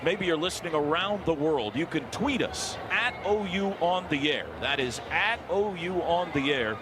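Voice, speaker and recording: A man's voice: fairly high pitch, animated, moderate pace. An American man calling a football game live on the radio as play-by-play announcer from a stadium booth. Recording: loud stadium crowd and booth noise.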